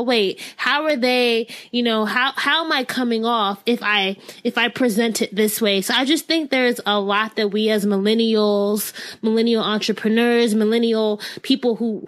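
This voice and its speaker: A woman's voice: on the high side, a bit bright. A woman in her twenties with a youthful, girlish voice, hosting a casual talk and thinking aloud earnestly. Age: 20s. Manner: earnest, thinks aloud, frequent "mm-hmm" backchannels. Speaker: podcast host. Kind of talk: casual conversational interview.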